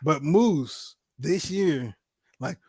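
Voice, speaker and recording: A man's voice: on the high side, animated, extremely slow, darkish tone, slight background noise. A man in his forties hosting a casual talk show, speaking emphatically and repeating himself to drive points home. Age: 40s. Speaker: host.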